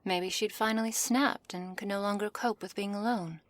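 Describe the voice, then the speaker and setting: A woman playing a haughty, condescending witch in an ASMR roleplay, speaking softly in measured, formal phrases with a commanding manner. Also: medium pitch, steady tone, lightly acted. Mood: musing, cool. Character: cold, haughty witch, imperious captor of a werewolf. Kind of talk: ASMR roleplay, scripted character acting. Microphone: close studio mic, dry.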